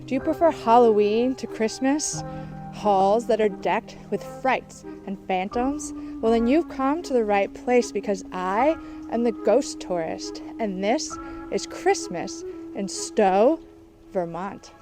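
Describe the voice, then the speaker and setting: A woman with a fairly high voice narrates as a travel show host. Her delivery is scripted but playful, with a touch of theatre in the phrasing. Music plays clearly behind her. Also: lively intonation, takes it slowly. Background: background music.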